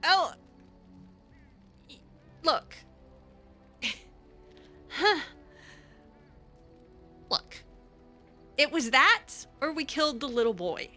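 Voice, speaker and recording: A woman's voice: sits high, very animated, drawn-out words, long pauses, pushed and bright, faint music behind. A woman improvising in character over a fantasy game, speaking casually with a hesitant, sassy manner. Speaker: game streamer roleplaying her character.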